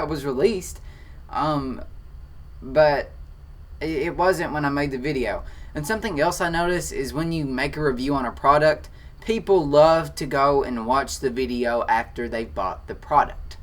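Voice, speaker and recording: Teen photography vlogger, Kentucky country accent, chatty and excitable, talking from a home office. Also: male voice, a little high, moderate pace. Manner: excitable, chatty, defensive then joking. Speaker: photography channel host. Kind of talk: casual Q&A reading comments.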